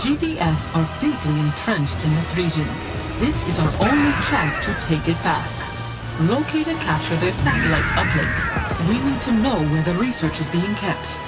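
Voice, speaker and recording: An actress playing an authoritative, urgent military adviser giving video game mission briefings. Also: female voice, lowish voice.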